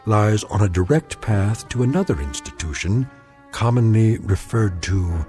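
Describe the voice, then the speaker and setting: A solemn male narrator introduces eerie tales in a deliberate, ominous way. His voice is fairly low and very varied in pitch, with faint music underneath. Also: lively intonation, mellow. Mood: ominous, measured. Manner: deliberate, ominous, measured phrasing. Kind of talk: scripted dramatic narration.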